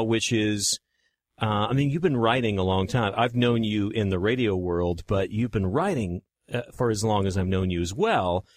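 A man hosting a casual interview, chatty and quick to laugh, asking long winding questions. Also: fairly low pitch, dry recording. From Texas. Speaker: podcast host.

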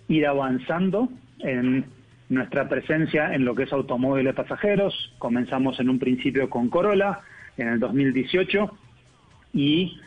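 A man with an Argentine accent, a car company executive speaking as a guest in a radio interview by phone, in measured corporate phrasing with fillers. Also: medium pitch. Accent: Argentine Spanish. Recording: tinny phone line.

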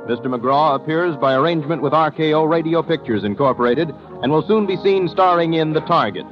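A man's voice: a little high, lively intonation, fairly quick. A man working as a radio announcer, reading credits in a formal 1950s broadcast style. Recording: dull 1950 radio broadcast recording. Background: brass orchestral music.

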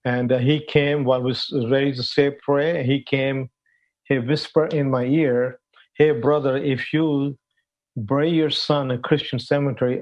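An older man from Pakistan telling his life story as an interview guest, halting, with many fillers and non-native phrasing. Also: mid-range voice, darkish tone. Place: at home, in a room. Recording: dull lo-fi sound.

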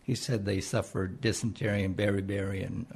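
A man in his seventies from the Philippines speaks with a Philippine accent. His voice sits low, gravelly and husky. He talks haltingly, with frequent pauses. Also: flat delivery, a little mellow. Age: 70s. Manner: hesitant, halting with many pauses. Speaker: interview guest, retired army colonel. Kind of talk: conversational interview.

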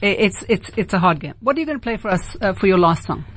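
A woman with a South African accent hosting a casual late-night radio interview, often halting and repeating words as she thinks. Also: mid-range voice, animated, a little hiss or hum. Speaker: radio host.

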